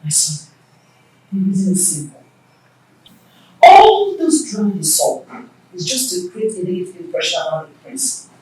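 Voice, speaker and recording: A woman's voice: lowish voice, wildly swooping pitch, moderate pace, pauses often, darkish tone. A woman playing a shrewd, counselling mother of a royal household in a film drama, formal and emphatic. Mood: knowing, explaining. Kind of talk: theatrical film drama dialogue. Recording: very echoey room, distant microphone.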